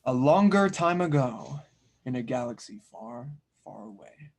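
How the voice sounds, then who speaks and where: A man's voice: mid-range voice, expressive, a little slow. A man acting as host and narrator, introducing and reading stage directions at a script table read.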